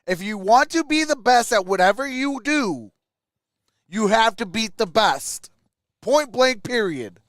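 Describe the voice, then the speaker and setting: A man's voice: high voice, expressive, unhurried, a bit bright, no echo. A man hosting a sports livestream, mixing casual play-by-play with chat in an emphatic way.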